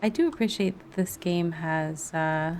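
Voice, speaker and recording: A woman's voice: fairly low pitch, a little slow, noisy background. A woman chatting casually and informally as she livestreams a video game, laughing easily. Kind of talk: casual livestream gameplay chat.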